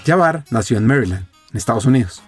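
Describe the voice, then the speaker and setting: A man's voice: medium pitch, animated, fast talker, loud music behind the voice. A podcast host narrating a guest's background and asking questions, speaking straight to his listeners. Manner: storytelling, addressing listeners directly. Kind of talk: scripted narration and interview questions.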